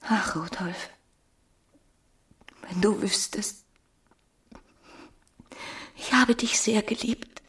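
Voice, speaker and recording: Desperate ruined wife in a radio play, husky breathy voice, intense, pleading with her old lover. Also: mid-range voice, very slow, long pauses, a bit bright, heavily acted. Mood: tender, wounded. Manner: theatrical, intense, swinging between whisper and outburst. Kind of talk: radio drama acting.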